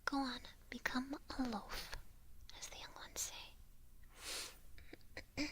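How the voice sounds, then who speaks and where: A woman voicing an evil witch in a whispered ASMR roleplay, breathy, coaxing and teasing, doting with a soft menace. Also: high-pitched, level tone. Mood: coaxing, amused. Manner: slow, coaxing, softly menacing. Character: evil witch, doting and teasing toward her new familiar.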